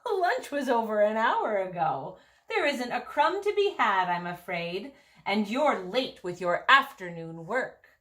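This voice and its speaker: A woman's voice: medium pitch, big pitch swings, measured pace, sharp tone. Woman voicing a fussy, emphatic storybook housekeeper. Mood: brisk, scolding. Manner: emphatic, exclaiming, politely scolding.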